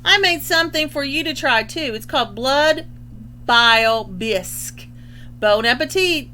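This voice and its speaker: A woman's voice: high voice, animated, measured pace, bright, forceful tone, a little hiss or hum, full character voice. A woman voicing an eager, firm zombie parent from a children's storybook, exclamatory and emphatic. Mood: eager, hospitable.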